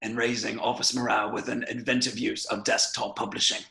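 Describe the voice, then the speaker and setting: A man reading a formal service record in a measured, official voice-over. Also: mid-range voice, flat delivery, gravelly, bright, forceful tone. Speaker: voice-over reader.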